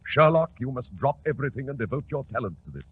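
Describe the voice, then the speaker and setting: A man's voice: medium pitch, big pitch swings, quick pace. A man playing a solemn, authoritative senior government official in a 1940s radio drama, with weighty, formal speech. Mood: grave, insistent. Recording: husky-sounding, tinny 1940s radio recording.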